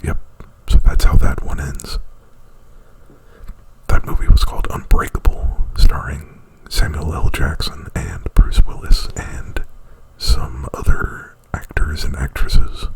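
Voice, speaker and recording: Man whispering very low and close. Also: flat delivery, slow, low-quality recording. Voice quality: breathy whisper. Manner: rambling, informal, with frequent "like" and profanity. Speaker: ASMR performer. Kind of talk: ASMR, casual whispered retelling of a film plot. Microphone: very close to the microphone.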